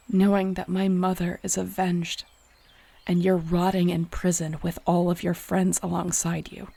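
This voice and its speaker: A woman playing a defiant, resolute wolf shifter heroine in an audio drama, speaking with steady confidence. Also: fairly low pitch, creaky. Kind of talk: audio roleplay drama acting.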